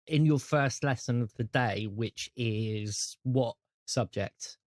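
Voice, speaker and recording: A man's voice: mid-range voice, slow pace, no echo, clean studio sound. A man with a British accent narrating a tabletop role-playing game as game master.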